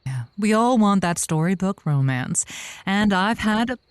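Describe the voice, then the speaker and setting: A woman playing a worldly, encouraging radio advice host in a comedy audio drama, with playful, halting delivery. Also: medium pitch, lively intonation, clear, resonant tone, dry recording, studio quality, lightly acted. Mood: wistful, playful.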